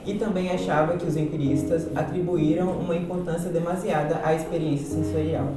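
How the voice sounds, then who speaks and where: A man's voice: fairly high pitch, level tone, brisk, natural voice. Man playing a didactic Brazilian philosophy teacher, very echoey. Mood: patient, explanatory. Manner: didactic, explanatory. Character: male philosophy teacher, patient and explanatory. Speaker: actor in a student radio drama. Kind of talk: amateur radio drama dialogue, didactic. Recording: very echoey, distant, loud music behind.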